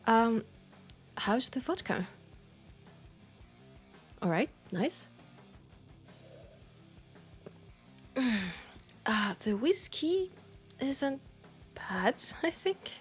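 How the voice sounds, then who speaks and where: A woman with a French accent acting a nostalgic, playful old school friend in an intimate, casual audio roleplay, with an unhurried, teasing manner. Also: on the high side, very animated, soft background music, exaggerated voice. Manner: unhurried, teasing, breaking into soft laughs.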